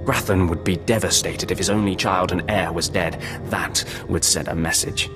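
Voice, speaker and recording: Low-voiced gruff sarcastic Imperial operative played by a man. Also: steady tone, normal speed, music in the background, natural voice. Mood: businesslike. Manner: curt, condescending, needling. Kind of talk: video game voice acting.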